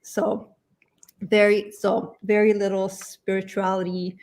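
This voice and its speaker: A woman from Sweden, a guest on a video call, talking in a halting way with frequent restarts and small laughs. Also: medium pitch, little pitch movement, darkish tone. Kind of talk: casual interview chat.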